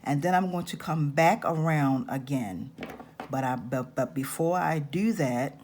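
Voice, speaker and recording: Slow-talking raspy woman teaching crafts. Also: low voice, darkish tone, slight background noise. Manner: slow, patient step-by-step instructions. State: raspy-voiced this morning. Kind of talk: hands-on craft tutorial.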